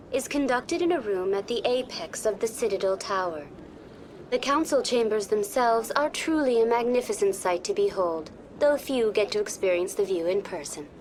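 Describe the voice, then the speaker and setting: A woman's voice: fairly high pitch, slight background noise, lightly acted, rough recording. A woman playing a polite virtual tour guide program in a game, formal and informative in a scripted guide style. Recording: music behind the voice.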